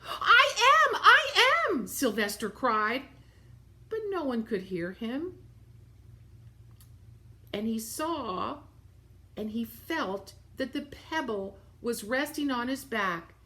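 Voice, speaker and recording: Slow-paced woman reading to children. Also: on the high side, expressive, a bit bright. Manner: simple explanatory phrasing, as to young children. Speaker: storyteller reading a picture book aloud to children. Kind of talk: expressive read-aloud with asides about the pictures.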